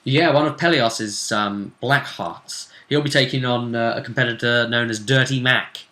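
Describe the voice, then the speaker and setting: A man with a bright, forward voice acts as game master at a tabletop session. His delivery is casual and halting as he deliberately lays out the game for his players. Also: medium pitch, reverberant.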